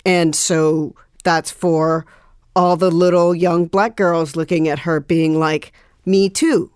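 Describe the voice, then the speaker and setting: A woman guest in casual group conversation, feeling her way through loose, halting phrases. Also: on the low side, fairly even intonation, a little mellow, smooth, dry recording, studio quality. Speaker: panel guest.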